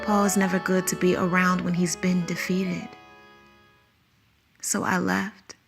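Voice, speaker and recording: An actress playing a conflicted teacher of circus students, speaking softly and reflectively in an audio drama. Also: female voice, lowish voice, level tone, measured pace, gravelly, music in the background. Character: female circus school teacher, conflicted and wistful.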